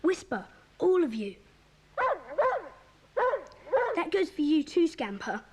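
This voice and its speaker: A woman playing a bossy, excitable schoolboy club leader in a children's audio drama, with a commanding, eager manner. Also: high-pitched, moderate pace. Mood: bossy, hushed.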